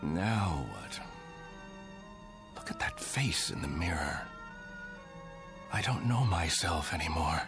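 An actor playing a bewildered, rattled Air Force colonel in a radio drama. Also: male voice, low voice, lively intonation, slow pace, long pauses, loud music behind the voice, exaggerated voice. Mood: shaken. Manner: bewildered, pleading, flares into anger.

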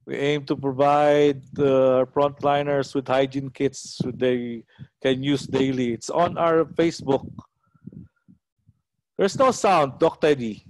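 A middle-aged man with a Filipino accent, hosting casually on a video call in a halting, repetitive way. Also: mid-range voice, fairly even intonation, no echo, rough recording. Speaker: online auction host. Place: small room at home, indoors. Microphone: headset on a video call.

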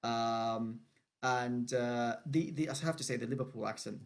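Male podcast host, 30s, from Syria, gravelly mid voice, rambling casual chat. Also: medium pitch, moderate pace. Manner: chatty, animated, many restarts and laughs.

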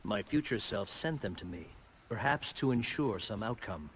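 An actor playing a wise, solemn thunder god and mentor in a video game, giving grave, measured counsel. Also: male voice, on the low side, animated, background noise, close to an everyday voice. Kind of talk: video game drama acting.

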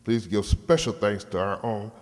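A man's voice: on the low side, fairly even intonation, normal speed. Older man speaking in an echoey hall. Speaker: program director addressing audience. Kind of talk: scripted thank-you speech read aloud. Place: large hall stage, indoors. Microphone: stand microphone through PA. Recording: reverberant hall.

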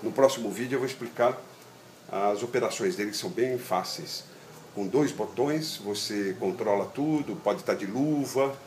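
Low-voiced Brazilian man, echoey sound. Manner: halting, repeats words, checks with "né" and "tá vendo". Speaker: product demonstrator. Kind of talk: informal hands-on tutorial, explaining step by step. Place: outdoor patio table in a garden. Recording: roomy echo, steady ambient noise.

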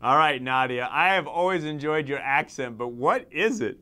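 A middle-aged man hosts a studio interview with showy enthusiasm. His pitch sits slightly high and swings widely from line to line. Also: expressive, moderate pace, pushed and bright. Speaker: interview show host. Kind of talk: TV interview hosting.

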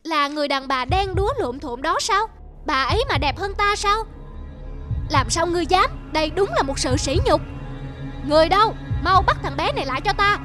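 A woman's voice: very high-pitched, lively intonation, moderate pace, music in the background. A woman voicing a vain, haughty young princess in a fairy-tale cartoon, self-admiring and imperious. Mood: indignant.

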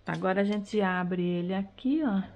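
A woman's voice: medium pitch, normal speed, music in the background. A middle-aged woman with a Brazilian Portuguese accent, chatting casually as she cooks in her home kitchen and explaining each step, recorded on a head-mounted camera. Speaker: cooking vlogger. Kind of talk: casual cooking chat to camera.

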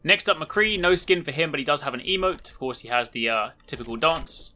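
A man's voice: fairly high pitch, fairly quick, pushed and bright. A man giving casual video game commentary as the host of a showcase video.

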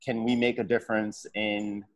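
A man's voice: lowish voice, level tone, moderate pace. A man in his thirties who grew up in California, a founder speaking candidly and reflectively on a video call from an office, with many fillers. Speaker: startup founder. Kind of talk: casual panel talk on a video call. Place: office with posters. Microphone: wired earphones on a video call.

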